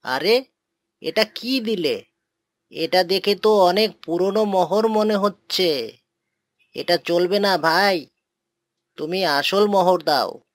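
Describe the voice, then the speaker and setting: Male actor as dismissive shopkeeper, animated fable, blunt and unhurried, dry close sound. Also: high-pitched, no echo. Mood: suspicious, dismissive. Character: suspicious market vendor refusing old coins. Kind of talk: cartoon moral tale acting.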